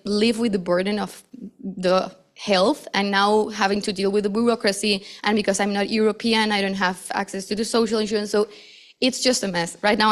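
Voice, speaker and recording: A young woman in her twenties from Costa Rica, sharing her own story on a conference panel in a casual, chatty way full of fillers. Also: medium pitch. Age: 20s. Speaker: patient panelist. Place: conference hall.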